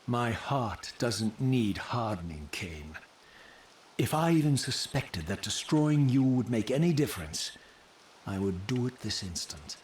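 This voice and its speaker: An actor playing a tormented, proud undead wraith in a video game, bitter and sardonic, with theatrical delivery. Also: male voice, medium pitch, sing-song, measured pace, darkish tone, roomy sound, recorded from a distance, a little hiss or hum. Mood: cold hostility. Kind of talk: dramatic video game cutscene acting.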